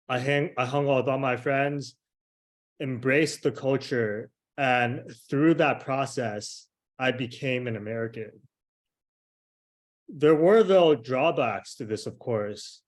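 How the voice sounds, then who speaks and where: A young man from Hong Kong, raised in Miami, giving a measured prepared speech over a video call. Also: mid-range voice. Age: young adult. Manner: slow, measured delivery with pauses. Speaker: club member giving a speech. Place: home room with shelves. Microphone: video call webcam.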